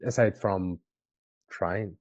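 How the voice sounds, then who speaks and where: Male sales rep, mellow delivery, conversational and question-led, dull compressed call audio. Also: deep voice, slow pace, no room sound. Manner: informal, questioning, checks in often. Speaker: sales representative for a marketing agency. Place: online video call.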